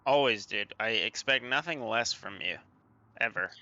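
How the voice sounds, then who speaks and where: A man's voice: medium pitch, lively intonation, normal speed, sharp tone, no echo, lo-fi sound. A man with a Canadian accent teasing and chatting playfully with friends during a flight sim session. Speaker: flight sim pilot in group stream. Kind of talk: casual chat.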